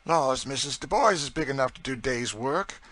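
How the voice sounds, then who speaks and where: A male narrator plays an enslaved woman who cooks, motherly and eager. The voice is lifted high and pushed out loud and bright, in a folksy dialect full of emphatic exclamations. It belongs to an audiobook story. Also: expressive, moderate pace. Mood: confident. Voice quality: folksy dialect speech with dropped sounds. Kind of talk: audiobook character dialogue.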